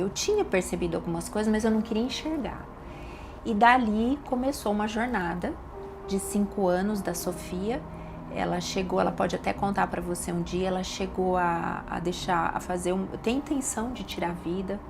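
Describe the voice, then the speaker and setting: A middle-aged woman with a Brazilian Portuguese accent, a doctor and podcast guest, giving a personal testimony with emotional, emphatic repetitions. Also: medium pitch. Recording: audible background noise.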